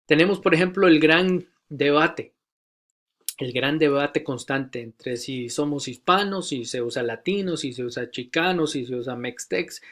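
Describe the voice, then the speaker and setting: Male lecturer, slightly high voice, deliberate classroom delivery with hesitant fillers. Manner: measured, repeats key phrases, many hesitations. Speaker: teacher of church leaders. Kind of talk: recorded lecture.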